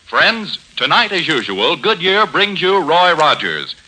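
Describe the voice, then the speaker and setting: A man's voice: on the high side, sing-song, measured pace, over loud music, poor audio. An American man announcing a 1940s radio show from a script, with a formal, emphatic delivery on a vintage broadcast recording.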